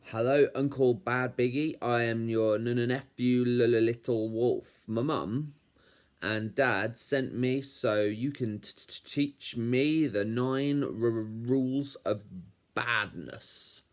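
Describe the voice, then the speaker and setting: A man's voice: on the low side, slow, darkish tone. A man voicing a young, timid wolf cub in a storybook, with a childlike, wobbly manner that can turn stammering when nervous. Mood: nervous, pleading. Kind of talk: storybook reading as letters.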